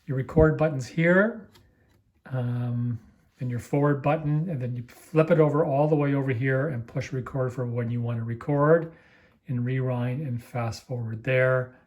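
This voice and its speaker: A man casually demonstrating equipment off the cuff, explaining it in a halting, apologetic, easygoing way as he handles it. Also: mid-range voice, darkish tone. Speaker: amateur reel-to-reel demonstrator. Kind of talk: casual unscripted product walkthrough.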